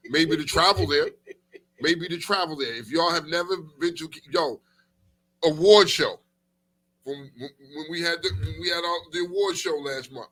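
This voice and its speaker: A man co-hosting a casual talk show with profane, slangy, teasing banter, animated and repeating himself for emphasis. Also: fairly high pitch, low-quality recording.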